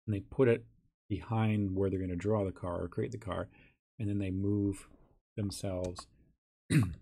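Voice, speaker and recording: Hoarse, husky man explaining software. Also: sits low. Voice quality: raspy. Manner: casual, thinks aloud with ums and restarts. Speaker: teacher. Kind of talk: screen-recorded software tutorial.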